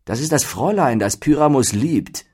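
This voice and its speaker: A man's voice: medium pitch, animated, normal speed, dark tone, slightly rough, voice pushed up. A man voicing a dignified, unflappable carpenter who leads his troupe with firm, patient authority. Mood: patient, unruffled.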